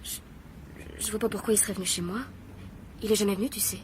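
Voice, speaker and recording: A woman's voice: mid-range voice, fairly even intonation, fast, a little mellow, a little hiss or hum, natural voice. An actress playing a timid, devoted woman who is easily hurt, hesitating and repeating her words in a French radio drama. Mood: casual, guarded.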